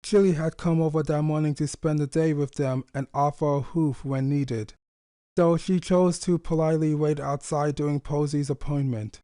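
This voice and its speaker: A man's voice: a little high, fairly even intonation, moderate pace, dark tone, clean tone, no room sound, studio quality. A man reading scripted story narration in an earnest, sentimental way. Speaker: fan fiction narrator.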